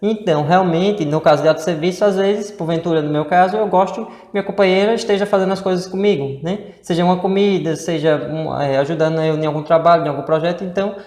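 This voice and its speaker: A man's voice: fairly high pitch, a little mellow. A man with a Northeastern Brazilian accent, co-hosting a casual chat and explaining at length with rhetorical questions. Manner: rambling, many fillers and rhetorical questions. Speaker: co-host. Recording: echoey room with long reverb.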